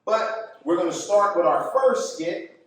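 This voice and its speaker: A man giving an informal live introduction to a puppet show as the artist running it, speaking in a halting, appreciative way. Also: high voice, lively intonation. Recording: echoey room, distant mic, music behind.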